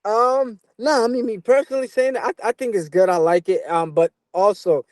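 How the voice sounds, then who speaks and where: A young man from Portugal who moved to the US as a boy, chatting casually as an interview guest, halting and repeating himself with lots of "you know". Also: high voice, steady tone, dry recording, clean studio sound. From Portugal, moved to the US at twelve. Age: young adult. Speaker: professional boxer, interview guest.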